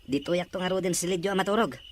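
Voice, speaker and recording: A woman's voice: lowish voice, fast, lightly acted. A woman playing a helpful, friendly young cousin in a Filipino radio drama, with an Ilocano accent and faint noise in the recording. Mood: pleading, agreeable.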